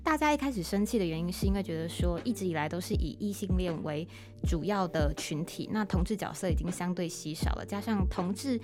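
A woman's voice: on the low side, expressive, brisk, over music. A woman with a Taiwanese Mandarin accent hosting a conversational podcast interview, explaining her thoughts at length in an articulate way. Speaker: podcast host.

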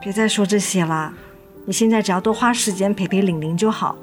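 A woman's voice: medium pitch, brisk, natural voice. An actress playing a hurt, anxious mother in a radio drama, with a guarded, sorrowful, emotional manner. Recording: music under the voice.

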